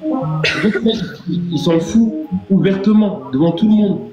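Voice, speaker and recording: A man's voice: sits high, measured pace. A man hosting a casual live stream, stammering and repeating himself with playful, theatrical outrage. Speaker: livestream host. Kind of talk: casual livestream chat. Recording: roomy, dull lo-fi sound. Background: music.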